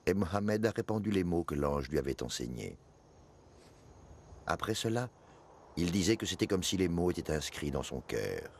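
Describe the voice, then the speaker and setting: Deep-voiced solemn male storyteller. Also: big pitch swings, normal speed, vocal fry, long pauses, a little hiss or hum, full character voice. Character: male companion of the Prophet, solemn devout storyteller recalling hardship. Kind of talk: dramatized first-person narration for an animated documentary.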